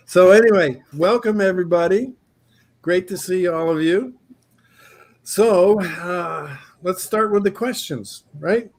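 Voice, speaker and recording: A male host with a slightly high-pitched voice leads a relaxed interview over a video call. He talks chattily and often stops for "uh" and restarts his sentences. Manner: chatty, many filler pauses and restarts. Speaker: talk show host.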